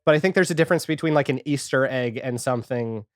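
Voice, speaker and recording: Male podcast guest, average pitch, enthusiastic rambling talk, casual, dry close recording. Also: fast talker, dry recording. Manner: enthusiastic, rambling, peppered with 'like'. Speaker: podcast guest, audio fiction creator. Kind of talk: casual chat.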